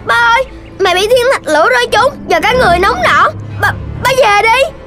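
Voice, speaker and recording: A voice actor playing a cheerful little puppy and his young friends in a cartoon, in a chirpy, excitable childlike voice. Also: extremely high pitch, moderate pace. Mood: worried. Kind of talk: cartoon dubbing. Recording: cartoon with music underneath.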